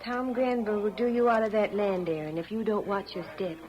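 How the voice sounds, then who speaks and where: On a dull vintage radio recording, a woman plays a weary American frontier wife. She speaks at a medium pitch in folksy phrases, fretting and suspicious. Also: fairly even intonation, a little fast, a little mellow, close to an everyday voice. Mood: fretful, warning. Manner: folksy, fretful. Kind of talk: 1930s-40s radio drama acting.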